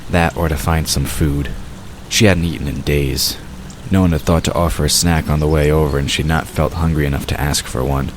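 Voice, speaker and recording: Very deep male fantasy narrator. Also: steady tone, fairly quick, slight background noise. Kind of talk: scripted fantasy story narration.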